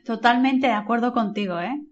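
A woman interviewer with a slightly raised pitch. She agrees readily with her guest and asks follow-up questions in a friendly way. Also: a little fast, lo-fi sound. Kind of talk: interview conversation.

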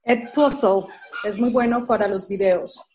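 Mellow woman teacher. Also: medium pitch, steady tone, measured pace, a little hiss or hum. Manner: clear, explanatory. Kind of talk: video call contribution.

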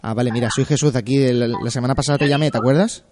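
A man's voice: medium pitch, steady tone, very fast, a crowd in the background. A man with an accent from Spain, chatting casually and teasingly as a radio morning show host doing prank calls. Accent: Spanish from Spain. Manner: teasing, colloquial. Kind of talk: prank call patter, casual radio chat. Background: music.

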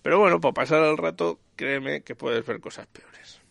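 A man's voice: on the high side, normal speed, gravelly, a bit bright, dry recording. A man giving a casual, rambling review as a conversational host. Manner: rambling, searching for details. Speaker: series reviewer and podcast host.

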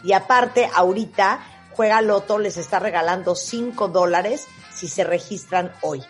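A woman with a Mexican accent hosting a radio talk show, lively and emphatic. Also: medium pitch, a little slow, soft background music. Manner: lively, emphatic, reads ads.

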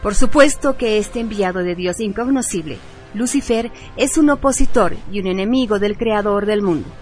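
A woman reading a scripted radio narration in a solemn, deliberate manner. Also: mid-range voice, darkish tone. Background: music.

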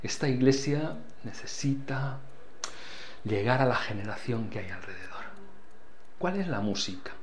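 A man's voice: medium pitch, animated, a little slow, a little hiss or hum. Earnest older man with a foreign accent. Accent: foreign accent in Spanish. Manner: earnest, repeats key phrases for emphasis. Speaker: church leader. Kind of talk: interview answers.

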